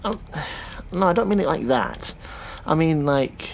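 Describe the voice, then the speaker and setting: A man playing a thoughtful, sceptical taxi driver in a naturalistic audio drama, talking in a casual, halting way. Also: on the high side, big pitch swings, gravelly, slight background noise, heavily acted. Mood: doubtful.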